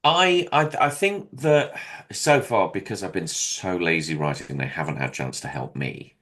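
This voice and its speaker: A man with a deep voice, a comedian guest talking candidly in an interview, hesitant with many pauses and restarts. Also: animated, a bit bright. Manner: self-deprecating, hesitant with many ums and restarts.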